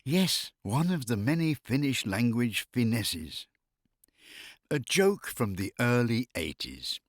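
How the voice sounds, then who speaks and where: A man's voice: medium pitch, sing-song, slow pace, no room sound, clean studio sound. A middle-aged or older man chatting casually and humorously, telling anecdotes in a wry way and often amused by his own jokes. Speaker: expatriate living in Finland.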